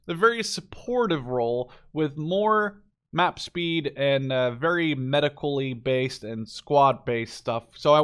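A man's voice: a little high, a bit bright. A man giving casual, unscripted commentary on a game as he plays, informally thinking aloud. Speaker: gameplay video host.

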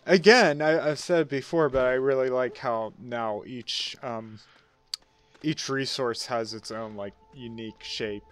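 Slow, hesitant man chatting over gameplay. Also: medium pitch, a bit bright, clean tone, soft background music. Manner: slow, hesitant, many fillers. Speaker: let's-play co-host. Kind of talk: casual gaming chat.

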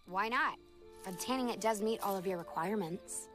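An actress voicing a tough, sardonic young adventuress in an animated comedy, with a wry, teasing delivery. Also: female voice, mid-range voice, fairly quick, soft background music, natural voice. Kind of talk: animated film comedy acting.

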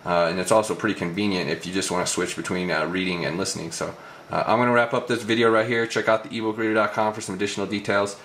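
Fast-talking low-voiced man, casual demo. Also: steady tone, a bit bright, slight background noise. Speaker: tech reviewer presenting a demo. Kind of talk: casual product demo narration.

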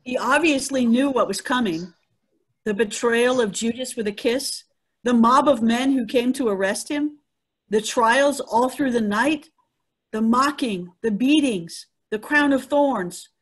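A woman's voice: on the high side, measured pace, husky, poor audio. A woman playing an earnest female eyewitness to the crucifixion in a dramatic reading. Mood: solemn. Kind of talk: dramatic Easter scripture reading. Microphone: video call.

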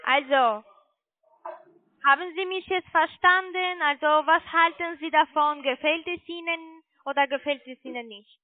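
A high-pitched woman with a foreign accent in her German delivers a sales pitch over a narrowband phone line. She speaks haltingly and searches for words as she goes. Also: no echo. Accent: non-native German. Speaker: call centre sales agent pitching trading. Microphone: telephone.

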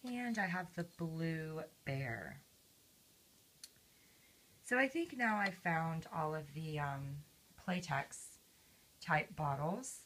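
Hoarse woman with a low voice, chatting about her collection at home, lots of ums. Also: lively intonation, slow pace, pauses often. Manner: gentle, with many ums. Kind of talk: casual show-and-tell. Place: indoors at home, camera over a table. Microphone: handheld camera mic, speaker off-camera.

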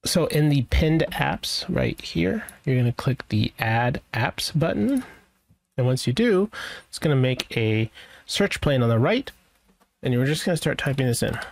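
A man's voice: medium pitch, creaky, dry recording. A man presenting an IT tutorial in a casual, informal way, rambling a little as he thinks aloud through each step. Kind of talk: casual screen-share software walkthrough.

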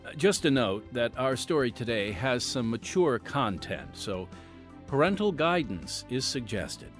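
Animated American male radio announcer. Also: mid-range voice, a little slow, soft background music. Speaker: host and announcer. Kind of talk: scripted radio drama narration.

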